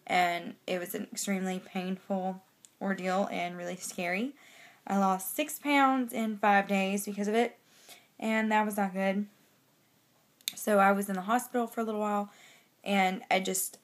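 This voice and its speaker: A young woman with a slightly raspy voice from a cold, chatting casually in a personal vlog into a phone held at arm's length indoors. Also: medium pitch. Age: young adult. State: has a cold. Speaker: pregnancy vlogger giving a weekly update. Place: small bedroom indoors.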